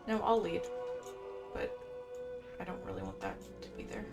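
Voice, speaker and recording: A woman's voice: fairly low pitch, big pitch swings, normal speed. A woman giving casual gameplay commentary, rambling and thinking aloud as she plays. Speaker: gaming streamer. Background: video game music.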